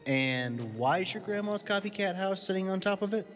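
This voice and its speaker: A man's voice: high-pitched, expressive, moderate pace, a bit bright. Guy playing wry skeptical adventurer, audio drama, big echoey reverb, bit of music under it. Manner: wry, questioning. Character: skeptical, questioning male adventuring companion. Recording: long cavernous reverb, faint music.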